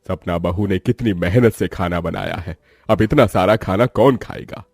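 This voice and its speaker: A man's voice: low voice, moderate pace, creaky, darkish tone, slightly rough, no room sound, soft background music, full character voice. A man voicing an elderly village father-in-law, a concerned and grave family elder in a story. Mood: disapproving.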